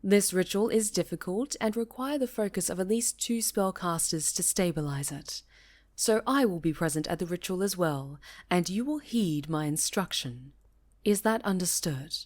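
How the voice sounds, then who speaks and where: A woman voicing a stern, cautious high enchantress and mentor, speaking gravely and formally in a fantasy roleplay. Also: moderate pace, lightly acted. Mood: stern, resigned. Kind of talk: fantasy ASMR roleplay acting.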